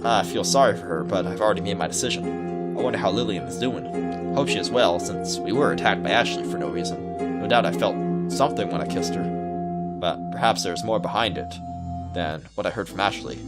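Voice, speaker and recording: A man's voice: low-pitched, a little fast. A man hosting a let's play, reading a visual novel aloud and adding casual commentary, with music underneath.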